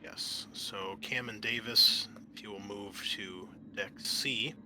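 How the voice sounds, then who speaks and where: A man narrating an improvised tabletop game as game master, halting often mid-sentence. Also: fairly low pitch, steady tone, pushed and bright, slight background noise.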